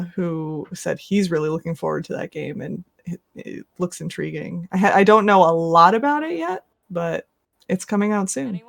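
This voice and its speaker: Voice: medium pitch, creaky. A podcast guest chatting casually and a little hesitantly about video games. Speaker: podcast guest who plays games for an online audience.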